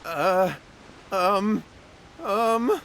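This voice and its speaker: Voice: medium pitch, drawn-out words, a bit bright, slight background noise. An actor playing a timid, panicky young village man in a video game, nervous and stammering. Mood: panicked, evasive.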